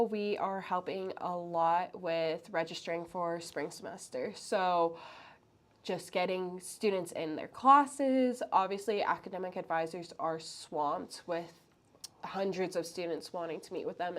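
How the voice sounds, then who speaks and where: A young woman from Hawaii, a college student who works as a peer advisor, chatting in an upbeat, friendly way. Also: fairly low pitch. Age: young adult. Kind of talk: casual chat.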